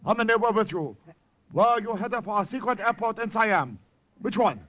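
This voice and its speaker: A man's voice: high-pitched, expressive, normal speed, fairly bright tone, no room sound. A man playing a menacing, suspicious Japanese colonel in a 1950s radio drama, formal and imperious. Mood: cold command. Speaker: actor. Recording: dry vintage radio recording.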